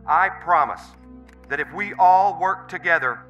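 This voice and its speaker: A man's voice: on the high side, expressive, unhurried, fairly bright tone, recorded from a distance, muffled recording, faint music behind. A man playing an earnest, grandiose chief executive giving a public speech in a radio drama. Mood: earnest, rousing.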